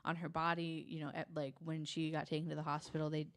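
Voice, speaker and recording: A woman recounting true crime cases in a conversational, halting and informal way, hedging as she works from notes. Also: low voice, level tone, a bit bright, no echo. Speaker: podcast guest.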